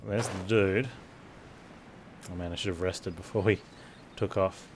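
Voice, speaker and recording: A man's voice: low-pitched, steady tone, measured pace, noisy background. A man streaming a video game, giving casual commentary and muttering to himself as he plays.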